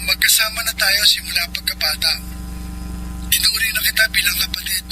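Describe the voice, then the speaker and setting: A man playing a gentle, earnest young suitor in a radio drama, sincere and hesitant. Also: high voice, brisk, a little hiss or hum, low-quality recording. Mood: earnest, uneasy. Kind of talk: Filipino radio drama acting.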